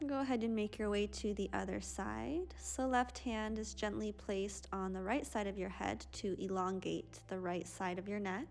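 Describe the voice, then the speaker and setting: Yoga teacher, young woman, sleepy gentle cues with a gravelly edge, small room, soft music under. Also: mid-range voice, fairly even intonation, moderate pace, hardly any pauses. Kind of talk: guided yoga class instruction. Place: small pink-lit room, indoors.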